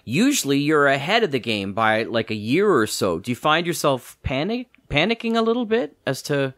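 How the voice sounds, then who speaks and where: A man's voice: fairly high pitch, big pitch swings, normal speed, fairly bright tone, dry recording, studio quality. A man chatting casually as he interviews a guest on a podcast. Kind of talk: casual chat.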